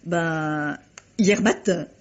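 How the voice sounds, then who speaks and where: A woman playing a chatty, squeamish housekeeper in a French radio mystery play, with a husky voice and folksy, exclamatory, hesitant talk. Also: on the low side, very slow. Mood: sorrowful. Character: chatty, squeamish, plain-spoken female housekeeper. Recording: dull lo-fi radio recording.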